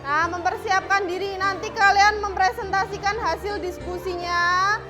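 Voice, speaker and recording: Lady teacher w really high voice, prompting kids thru a task, music playing behind her. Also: fairly even intonation, normal speed. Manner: prompting, often repeats check questions and instructions. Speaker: primary school teacher. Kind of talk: classroom instruction to pupils.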